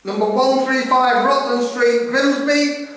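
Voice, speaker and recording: A man's voice: very high voice, fairly even intonation, a little slow, a bit bright. A middle-aged man calling a live property auction in emphatic, repetitive patter, recorded at a distance in an echoey room. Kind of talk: live property auction calling with rapid repeated bid chant. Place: indoor auction room at a lectern. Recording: very echoey room, far from microphone.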